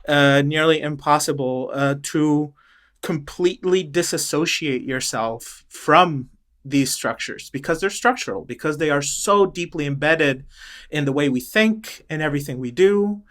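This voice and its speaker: A middle-aged man speaking as an interview guest, thoughtful and halting, with many restarts and fillers. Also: fairly high pitch, fairly bright tone. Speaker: interview guest, choral director. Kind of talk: reflective interview talk.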